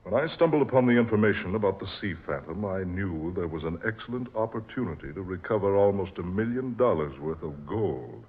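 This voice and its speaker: An actor playing a shrewd, persuasive treasure-expedition backer who speaks in a measured way, in 1940s radio acting style. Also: male voice, sits low, expressive, slightly rough. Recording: tinny old radio recording.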